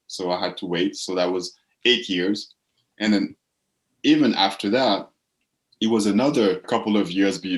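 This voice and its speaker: A man from the Congo speaks as a guest in a casual interview. His voice sits low. He talks reflectively and often repeats a word while he finds the next one. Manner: reflective, repeats words while searching for phrasing. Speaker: guest, purpose coach.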